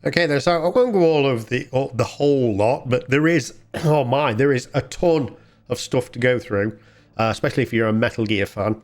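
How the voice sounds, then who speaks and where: A man with a mid-pitched voice gives off-the-cuff gameplay commentary. He is chatty and informal, rambling along as the host of a retro gaming channel. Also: normal speed.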